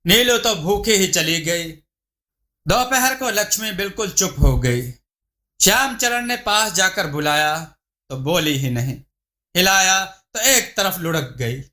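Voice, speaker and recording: A man narrating a scripted moral story as a storyteller, in a measured, dramatic manner. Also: high voice, lively intonation, sharp tone.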